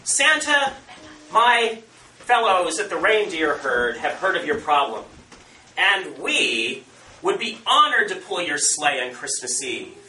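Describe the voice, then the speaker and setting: A man's voice: fairly high pitch, very animated, slow pace, pushed and bright, faint music behind, heavily acted. A man voicing a noble, courteous reindeer in a folktale, formal and earnest. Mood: eager, respectful. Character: noble, courteous reindeer offering loyal service. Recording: roomy, far from mic, faint noise.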